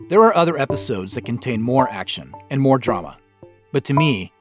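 American man narrating with expressive pitch. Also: brisk, soft background music. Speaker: show creator and host. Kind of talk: scripted behind-the-scenes commentary.

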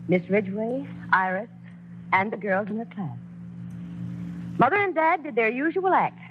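A grown daughter narrating her family's story with a lively, fond lilt. The sound is dull and muffled, from an old radio broadcast. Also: a little high, a little slow, a little hiss or hum. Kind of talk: 1940s radio drama narration.

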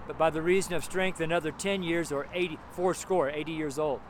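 A man's voice: fairly high pitch, steady tone, fairly quick, a little hiss or hum. A seventy-year-old American man giving a casual, rambling street sermon, chuckling to himself now and then. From United States. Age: 70s. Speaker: street preacher. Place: outdoors by a road with passing cars.

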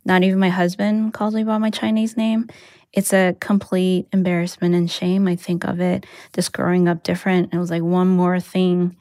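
A woman's voice: lowish voice, dry recording, clean studio sound. Candid woman telling a personal story. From United States. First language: Mandarin. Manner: reflective, candid, with soft laughs. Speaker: interviewee, newsletter writer.

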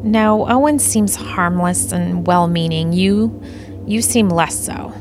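A woman's voice: on the low side, slow pace. A woman as dry, officious alien officer, music underneath. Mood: dry, exasperated. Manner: matter-of-fact, dry, officious. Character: ambitious, coolly practical female alien officer. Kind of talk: audio play acting.

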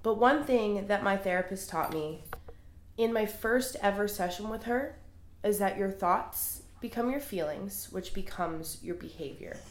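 A woman's voice: medium pitch, a little slow. A young woman with a cold and a congested voice, hosting her podcast in a chatty, earnest way. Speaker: podcast host. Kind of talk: casual solo podcast monologue. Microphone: small handheld recorder. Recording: roomy echo.

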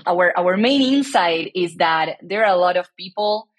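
A woman's voice: fairly low pitch, lively intonation, moderate pace, breathy edge. A woman, a startup founder speaking earnestly as an interview guest and often restarting her sentences. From Argentina. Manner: earnest, searching for words with many restarts. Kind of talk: casual conversational interview.

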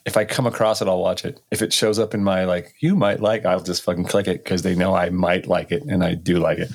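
A man's voice: low voice, flat delivery, fairly quick. A man co-hosting a casual podcast, making dry, wry jokes in easy conversation. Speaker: podcast co-host. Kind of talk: casual chat.

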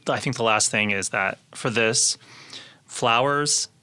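A man's voice: mid-range voice, slow pace, pushed and bright, clear, resonant tone. A man co-hosting a podcast in a casual, explanatory way, often hedging as he talks. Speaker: podcast co-host.

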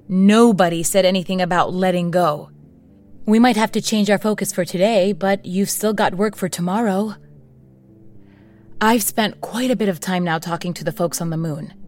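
An actress playing a steady, resolute leader with a measured, encouraging manner in an audio drama. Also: female voice, medium pitch, close to an everyday voice. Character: steady, resolute female leader rallying her people. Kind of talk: audio drama acting, naturalistic dialogue.

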